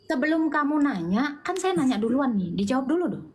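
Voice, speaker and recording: A woman's voice: high-pitched, big pitch swings, fairly quick, darkish tone. A woman in a heated online debate, mocking and insistent, repeating her questions in slangy, informal speech.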